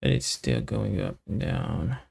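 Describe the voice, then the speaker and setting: A man on a casual coding livestream, thinking aloud in halting, muttered fragments as he works. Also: deep voice, lively intonation, gravelly, darkish tone, breathy edge. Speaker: developer live-streaming coding.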